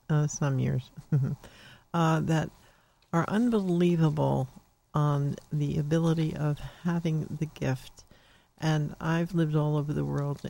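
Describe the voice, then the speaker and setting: A woman's voice: deep voice, creaky, mellow, low-quality recording. An older woman hosting a psychic call-in radio show, talking in a rambling, chatty way with many fillers. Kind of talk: casual radio call-in talk.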